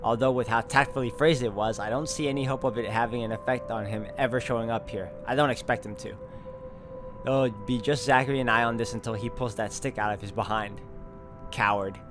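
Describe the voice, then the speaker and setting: A man's voice: medium pitch, fairly even intonation, brisk. A man playing through a visual novel, reading its text aloud and adding casual commentary. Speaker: game streamer doing a walkthrough. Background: game music.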